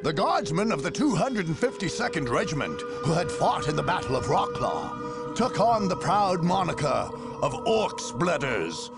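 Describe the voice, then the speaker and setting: Solemn war chronicler, gravelly, sweeping intonation, theatrical game narration, music behind. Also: male voice, fairly high pitch, very animated, moderate pace. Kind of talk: scripted dramatic narration.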